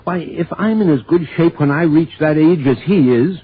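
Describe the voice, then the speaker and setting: A man's voice: a little high, normal speed, breathy edge. An American actor playing a crusty, suspicious, opinionated man in a 1950s radio drama, talking in a folksy, emphatic grumble. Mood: suspicious, grumbling. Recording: thin, phone-like old radio recording.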